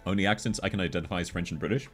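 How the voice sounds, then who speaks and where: Male game streamer, Australian accent, low and animated, laughs a lot while reading chat, soft music in the background. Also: rapid-fire. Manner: chatty, laughs often, answers chat while playing. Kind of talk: casual livestream chat with viewers.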